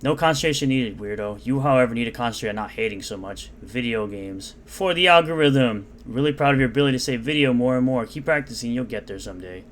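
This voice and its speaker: A man's voice: mid-range voice, fast talker, few pauses, slight background noise. A man reading out and mocking comments in an unscripted, sarcastic rant. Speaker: commentary YouTuber.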